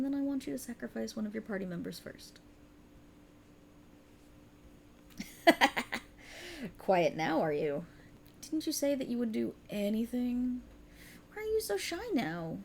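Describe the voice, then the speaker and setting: Girl doing a cruel dark deity for asmr roleplay, highish creaky voice, taunting and snooty. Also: slight background noise.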